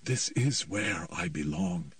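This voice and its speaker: A man's voice: sits low, animated, slow pace, dry recording, recorded from a distance, full character voice. A man voicing a tormented, suicidal teenage boy whose speech is raw and anguished. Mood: icy. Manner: raw, anguished, turning icy cold.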